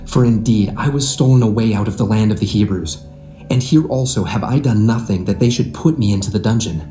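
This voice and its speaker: An actor playing an upright, earnest young Hebrew servant in a dramatised scripture reading. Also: male voice, fairly low pitch, a little fast, mellow, reverberant, faint music behind, lightly acted. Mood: solemn.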